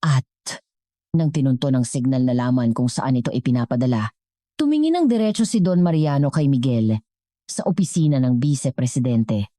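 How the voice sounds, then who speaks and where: Low, mellow woman storyteller, closely recorded. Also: expressive, normal speed, dry recording, clean studio sound. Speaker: storyteller and channel narrator. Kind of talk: scripted dramatic story narration.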